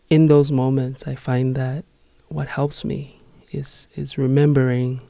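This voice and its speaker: A man in his thirties from Zimbabwe, talking in a radio studio. He speaks earnestly and reflectively, taking his time. His voice is warm and mellow, and the sound is close and dry. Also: medium pitch, gravelly, no room sound. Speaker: interview guest, nonprofit managing director. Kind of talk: studio interview.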